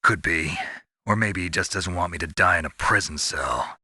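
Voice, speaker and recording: A man playing a gruff, sardonic, tough covert-ops soldier in a video game, with a gravelly voice and terse, wry delivery. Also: low voice, moderate pace, bright, forceful tone, no room sound, heavily acted. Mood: grim, determined. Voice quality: gravelly, raspy.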